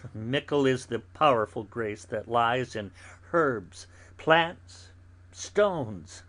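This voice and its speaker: Man playing a slow, theatrical, philosophical old friar. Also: mid-range voice, a bit bright, exaggerated voice. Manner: measured, deliberate, with dramatic pauses and sudden swells. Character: elderly, philosophical friar, fatherly and gently chiding. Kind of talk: classical verse drama, theatrical dramatic reading.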